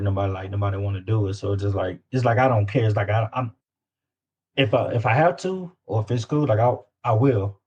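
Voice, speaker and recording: Man, deep-ish voice, Southern US accent, informal, slangy, repetitive phrasing, casual interview. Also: a little mellow, highs cut off. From Louisiana. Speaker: independent rapper guest.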